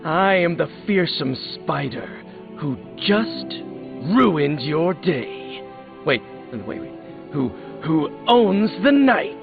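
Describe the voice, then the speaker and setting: A man's voice: fairly high pitch, sing-song, slow pace, vocal fry, exaggerated voice. A man voicing an awkward, eager young spider superhero in a video game, boyish and easily flustered. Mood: mock-heroic. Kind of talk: scripted video game dialogue. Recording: muffled game audio with faint music.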